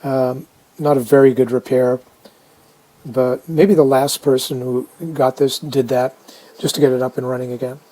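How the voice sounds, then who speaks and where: A man casually narrating a hands-on restoration video, unhurried and halting as he thinks aloud. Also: medium pitch, level tone, dark tone, low-quality recording. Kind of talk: casual narrated how-to commentary.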